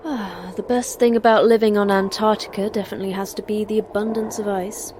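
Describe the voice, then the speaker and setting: An actress playing a terse, sarcastic manager of a secret facility in an audio drama, with a dry, curt delivery. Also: female voice, medium pitch, steady tone, brisk, a little mellow, slight background noise, lightly acted. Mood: dry, sardonic. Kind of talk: audio fiction acting.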